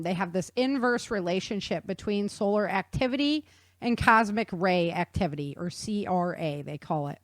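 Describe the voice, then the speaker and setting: A woman's voice: medium pitch, no echo. Casual explainer woman with a dry, close sound. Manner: chatty, informal, checks in with listener. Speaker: show host explaining a science topic.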